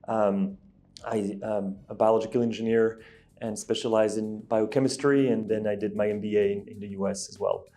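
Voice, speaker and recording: A man's voice: fairly low pitch, steady tone, normal speed, warm tone. A man from Belgium, answering interview questions as a company executive, hesitant with many ums and restarts. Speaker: guest, ingredient company executive.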